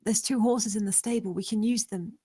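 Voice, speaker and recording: A woman's voice: medium pitch, steady tone, a little fast, warm tone, husky, no room sound, clean studio sound, close to an everyday voice. A woman playing a defiant, determined young maid in a dramatic script read. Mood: urgent, reassuring.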